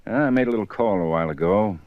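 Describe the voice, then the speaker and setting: An actor playing a steady, blunt police detective in a 1950s radio drama, firm and matter-of-fact. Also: male voice, lowish voice, quick pace, dry recording, close to an everyday voice. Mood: musing. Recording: dull old radio broadcast.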